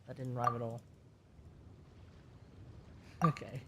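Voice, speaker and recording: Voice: mid-range voice, flat delivery, slow, very noisy. A game livestreamer chatting casually and thinking aloud in an informal, conversational way. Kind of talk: casual live stream commentary and chat.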